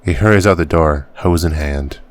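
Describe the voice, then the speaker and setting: Deep-voiced man narrating a game. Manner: halting reading, stumbles over words and laughs it off. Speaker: gaming channel host. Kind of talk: reading game text aloud as narration.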